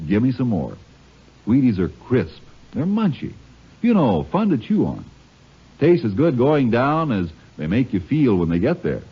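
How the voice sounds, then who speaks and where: A male announcer gives a folksy, chatty old-time radio sales pitch. His pitch swings widely and his tone is a bit husky. The sound is dull, like a vintage broadcast. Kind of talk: 1950s radio cereal ad.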